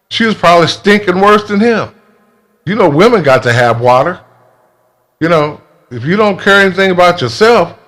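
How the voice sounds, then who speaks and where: A man speaking in a casual monologue, with a halting, blunt and emphatic way of talking. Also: fairly high pitch, fairly bright tone. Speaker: video creator telling a personal grievance.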